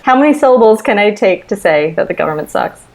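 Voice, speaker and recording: A woman's voice: medium pitch, expressive, fast talker, vocal fry, darkish tone, poor audio. A woman speaking as a podcast guest in a casual book discussion, wry and sarcastic, swearing casually. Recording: noticeable background noise.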